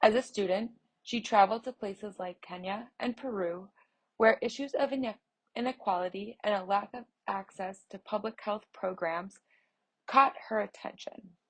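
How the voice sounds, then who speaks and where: Woman reading out a news story, mid pitch, steady and measured, restarts a word here and there. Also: poor audio. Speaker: voice interpreter reading an article. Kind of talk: reading a news feature aloud.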